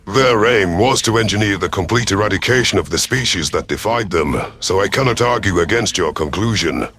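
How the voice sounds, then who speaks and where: A man narrating a scripted first-person science fiction story in a formal, deliberate storytelling manner. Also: fairly low pitch, animated, fairly bright tone, slight background noise.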